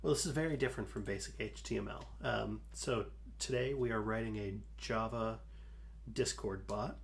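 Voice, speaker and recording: A man hosting a live coding stream, talking calmly through his code with a soothing voice and many fillers. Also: fairly low pitch, gravelly. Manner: slow, calm, talks through code with fillers. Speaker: livestream host.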